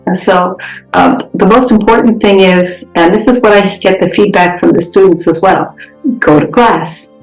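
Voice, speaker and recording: American woman, reassuring program director; fry in the voice, roomy echo. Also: on the low side, moderate pace, faint music behind. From United States. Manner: reassuring, explanatory. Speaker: regional director of a freshman program. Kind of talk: podcast interview answers.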